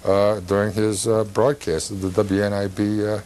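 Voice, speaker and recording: A man, hosting a casual talk show in a halting, rambling way. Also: on the low side, little pitch movement, darkish tone. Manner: halting, rambling, repeats words. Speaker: television host. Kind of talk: casual interview chat. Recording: faint background noise, TV studio.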